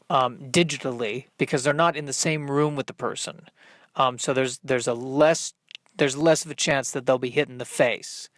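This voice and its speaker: A man hosting a solo comedy podcast, rambling and thinking aloud in a halting, self-deprecating way. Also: medium pitch, fairly bright tone, dry recording, studio quality. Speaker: podcast host working out stand-up comedy bits.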